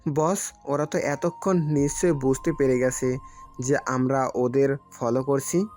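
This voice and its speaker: A man's voice: medium pitch, steady tone, measured pace, darkish tone, lightly acted. A man playing an uneasy, deferential gang henchman in an audio story, with music underneath. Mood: worried. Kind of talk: Bengali audio story acting.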